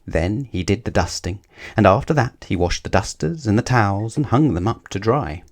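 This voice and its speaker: Male storybook narrator, fairly low register, scripted read-aloud for children. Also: animated, moderate pace.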